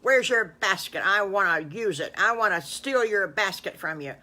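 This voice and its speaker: A sly, hungry big bad wolf from a children's storybook, as a woman puts him on. He booms his lines, loud and mock menacing, with a bright edge to the voice. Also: expressive, moderate pace. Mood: demanding.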